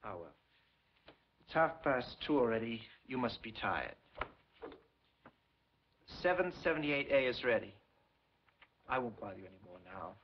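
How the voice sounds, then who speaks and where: Casting: suave, mock-sympathetic Soviet interrogator in uniform, mid-pitched voice, dull old film sound with some hiss. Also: male voice, very animated, a little slow, pauses often. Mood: coaxing. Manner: polite, unctuous, mock-sympathetic. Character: smooth, falsely courteous Soviet secret-police major interrogating a prisoner. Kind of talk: 1950s film acting.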